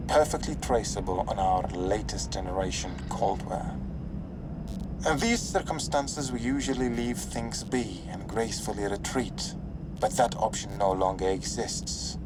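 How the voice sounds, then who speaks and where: An actor playing a dry, sardonic veteran operative giving a mission briefing in a video game, wry and understated. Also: male voice, fairly low pitch, heavy background noise, close to an everyday voice. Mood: wry, exasperated. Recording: music playing behind the voice.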